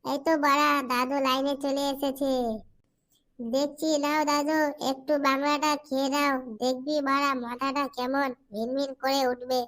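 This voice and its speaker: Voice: high voice, flat delivery, normal speed, a bit bright. A performer voicing a pushy, foul-mouthed young village lad in a comic cartoon, with crude bossy slang.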